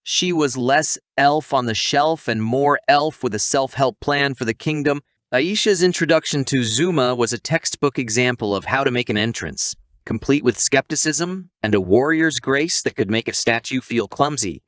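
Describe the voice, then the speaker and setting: A man's voice: mid-range voice, moderate pace, few pauses, a bit bright, no room sound, studio quality. A man reading a scripted recap narration in a wry, tongue-in-cheek storytelling manner.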